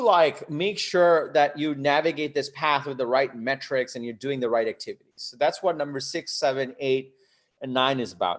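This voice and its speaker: A man from Bangladesh and the US giving a live online coaching talk, conversational and emphatic, often checking in with rhetorical questions. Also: on the high side. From Bangladesh until ten, then the US. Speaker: host, startup coach.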